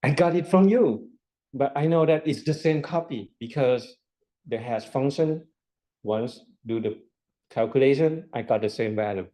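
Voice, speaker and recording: A man's voice: mid-range voice, measured pace, mellow, poor audio. A man lecturing a university class, explaining step by step with rhetorical questions and repetition. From Thailand.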